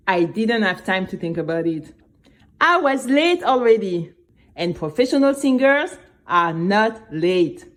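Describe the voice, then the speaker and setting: Woman acting young girl, chatty, nervous, expressive first-person audio drama narration, medium pitch, slow pace. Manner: conversational, expressive storytelling with exclamations.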